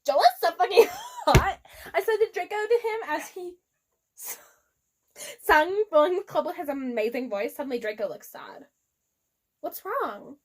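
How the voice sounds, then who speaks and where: A woman's voice: extremely high pitch, big pitch swings, normal speed. A woman giving a comic dramatic reading of fan fiction, theatrical and prone to giggling. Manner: theatrical, giggly, often breaking up. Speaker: reader.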